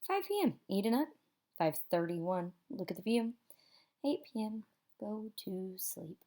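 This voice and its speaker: A woman with a warm, rounded voice of average pitch reads a picture book for children. Her delivery is expressive and theatrical. She leans on key words and leaves dramatic pauses.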